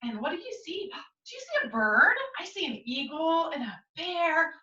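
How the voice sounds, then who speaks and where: An American woman, a school teacher, hosting a storytime for young children in an expressive sing-song way, asking them questions as she goes. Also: high voice, moderate pace. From United States. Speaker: school teacher reading to her young pupils. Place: indoors at home. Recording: roomy echo, distant mic, slightly dull sound.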